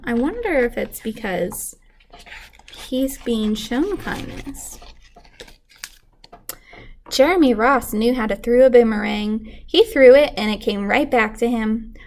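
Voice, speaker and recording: A woman's voice: on the high side, expressive, slow pace, darkish tone, a little hiss or hum. A woman reading a children's storybook aloud expressively, like a teacher with a class lesson.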